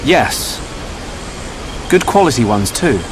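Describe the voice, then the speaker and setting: A man's voice: mid-range voice, expressive, slow pace, background noise. A man with a British accent voicing an earnest, inquisitive young hero in a dramatic video game. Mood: insistent.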